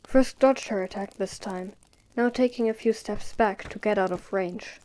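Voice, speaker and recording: A woman's voice: mid-range voice, normal speed, a little mellow, slight background noise. A woman narrating an audio drama in a scripted storytelling style, with music behind her.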